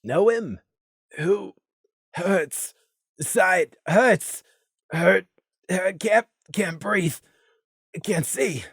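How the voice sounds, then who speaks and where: A woman voicing a badly wounded male pony who murmurs faintly in halting, breathless fragments. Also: on the low side, lively intonation, vocal fry, husky, no room sound, studio quality, exaggerated voice. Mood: faint pained. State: weak, struggling to breathe.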